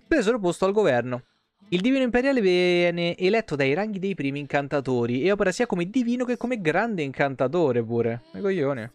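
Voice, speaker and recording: A man, a streamer reading game lore aloud with casual, sweary asides and the odd stumble. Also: on the high side. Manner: colloquial, profane, stumbles and self-corrects while reading. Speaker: live streamer. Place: small room at home. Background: faint background music.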